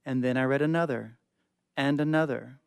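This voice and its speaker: A man's voice: medium pitch, moderate pace, gravelly, clear, resonant tone, no room sound. A man reading short stories dramatically as a guest in a radio studio. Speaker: guest reader.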